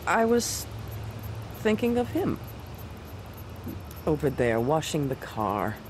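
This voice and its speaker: An actress playing an imperious, manipulative commander's wife in an audio drama, haughty and theatrical. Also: female voice, sits low, sing-song, slow pace, a little hiss or hum, full character voice. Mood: insinuating, persuasive.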